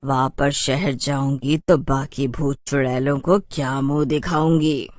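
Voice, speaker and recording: A woman's voice: rumbling low, steady tone, a little slow, a little mellow, dry recording. A woman voicing a haughty, spoiled, taunting city witch in a cartoon horror story. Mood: mocking. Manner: taunting, dismissive. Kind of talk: animated horror story dialogue.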